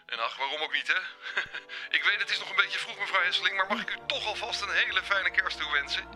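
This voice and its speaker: A man's voice: sits high, a little fast, bright, forceful tone, soft background music. A man playing an awkward, rambling bank official with false joviality in a comedic radio play. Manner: hesitant, digressive, officious.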